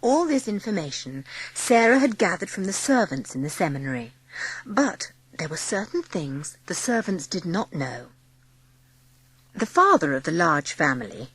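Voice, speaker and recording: Casting: female audiobook narrator, lowish husky voice, very expressive storybook reading, dry close sound. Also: normal speed, dry recording.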